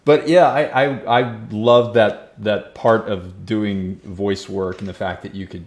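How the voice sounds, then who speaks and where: A man with a low voice hosts a casual talk show over a call. He talks in loose, chatty bursts and often restarts his phrases. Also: steady tone, a little slow. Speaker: podcast host.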